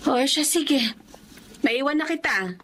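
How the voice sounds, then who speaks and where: A woman playing a caring, concerned middle-aged mother in a radio drama, solicitous and expressive. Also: high-pitched, unhurried.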